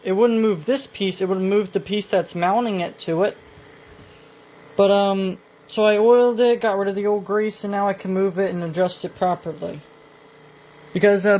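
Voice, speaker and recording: A woman talking casually through a hands-on repair demonstration, halting and thinking aloud as she goes. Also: medium pitch. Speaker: vintage electronics repair hobbyist. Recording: noisy home video, steady background noise. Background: clear background noise.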